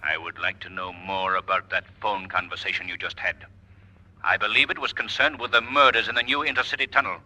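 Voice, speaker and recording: An actor playing an invisible male avenger who speaks in a commanding, taunting, softly menacing way, in 1940s radio style. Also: deep voice, steady tone, brisk, full character voice, poor audio.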